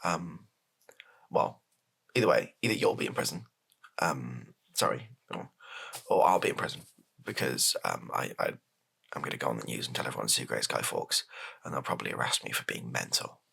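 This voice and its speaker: British guy doing a rambling deadpan political aide, soft spoken improv comedy, crisp bright edge but raspy, kinda hesitant. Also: a bass voice, moderate pace, pitched below the performer's own voice, heavily acted. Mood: resigned, awkward. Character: flustered male political advisor briefing the prime minister. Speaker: comedy roleplay performer.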